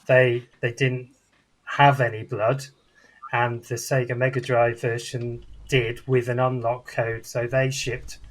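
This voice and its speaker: A man with a medium-pitched voice chats on a podcast about pop culture. He explains things informally, in an informative, anecdotal way. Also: little pitch movement, takes it slowly, poor audio. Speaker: podcast panellist. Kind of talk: casual explanatory chat about games and films.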